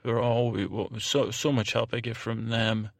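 A man with a creaky voice hosting a sleep podcast in a halting, meandering, informal monologue that often doubles back on itself. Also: mid-range voice, level tone, dry recording, clean studio sound. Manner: halting, meandering, self-interrupting. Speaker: podcast host.

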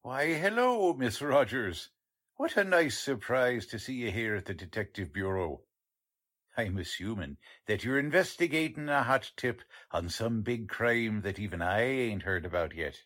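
Male actor as cheerful unpolished police lieutenant, folksy, self-important, animated pitch, 1940s radio play. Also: measured pace. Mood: eager, proud. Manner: folksy, ungrammatical, good-natured bluster. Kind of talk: 1940s radio drama acting.

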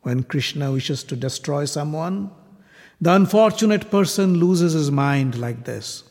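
Wise old warrior guy talking grave and calm, played by the narrator. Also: a little high, unhurried, voice pushed up. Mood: reassuring. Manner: grave and reassuring. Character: wise, dignified old male grandsire and warrior. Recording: long hall reverb.

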